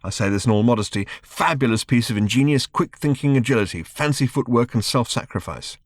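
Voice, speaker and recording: A man voicing an impatient, self-regarding male alien traveller with a brisk, clipped manner. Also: medium pitch, no room sound, clean studio sound, voice pushed up, close to an everyday voice. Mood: urgent.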